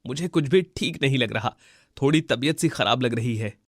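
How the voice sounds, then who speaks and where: A man voicing a poor, humble village labourer husband in a cartoon story, earnest and gentle. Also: medium pitch, moderate pace, no room sound, studio quality, close to an everyday voice. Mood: apologetic, worried. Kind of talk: Hindi cartoon moral-story dubbing.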